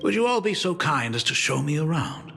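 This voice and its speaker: An animated, self-deprecating doting father. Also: fairly high pitch, fairly quick, creaky, soft background music, full character voice. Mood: grateful, impressed. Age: middle-aged. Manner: hesitant, polite, emotive. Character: doting, self-deprecating middle-aged father, flustered and eager. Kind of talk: video game voice acting.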